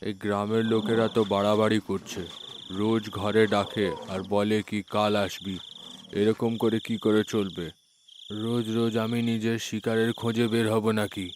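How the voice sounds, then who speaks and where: Man voicing a low, menacing cartoon demon. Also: level tone, a little slow, noisy background, close to an everyday voice. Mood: exasperated. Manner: threatening, demanding. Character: hungry, foolish, menacing village demon. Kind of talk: children's moral-story cartoon acting.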